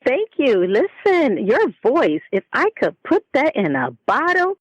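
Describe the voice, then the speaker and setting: Expressive, folksy woman, close and dry. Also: high-pitched, a little slow, breathy edge, dry recording, dull audio. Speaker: podcast guest, author and speaker. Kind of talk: casual interview chat.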